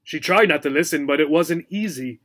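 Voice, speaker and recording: A man narrating a children's story as an audiobook reader, in an expressive storytelling manner. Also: on the high side, fairly quick.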